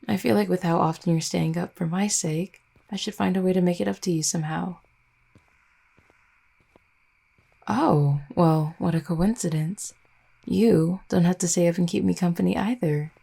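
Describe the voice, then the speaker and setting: A woman's voice: lowish voice, gravelly, warm tone, slightly rough, full character voice. A woman playing an affectionate, teasing vampire girlfriend in an intimate roleplay audio, speaking in a tender, conversational way.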